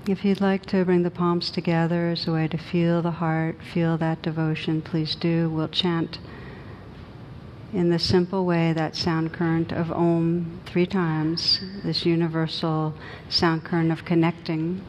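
Woman, meditation teacher, lowish even voice, gentle guided instruction, long pauses, unhurried. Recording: noisy recording with low rumble.

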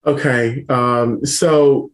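A man from Ghana, a startup founder, talking about his career as a podcast guest in a relaxed way, with small laughs and many ums. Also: mid-range voice, drawn-out words. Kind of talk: casual interview.

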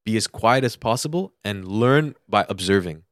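A man's voice: on the low side, animated, normal speed, no room sound, clean studio sound. A young American man co-hosting a podcast, giving casual advice in an emphatic way and repeating his points for stress. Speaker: podcast co-host.

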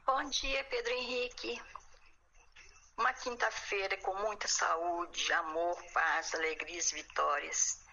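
A woman's voice: fairly low pitch, steady tone, slow, gravelly, bright, forceful tone, a little hiss or hum. A woman leaving a recorded voice message full of blessings for a radio host and his listeners. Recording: dull lo-fi phone voice message.

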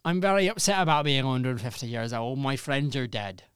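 A man playing a lonely, bitter grandfather said to be 150 years old, who rants and swears. Clear close sound. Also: mid-range voice, lively intonation, a little fast, a bit bright, no echo, lightly acted. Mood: self-pitying. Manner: mock-indignant ranting, sweary.

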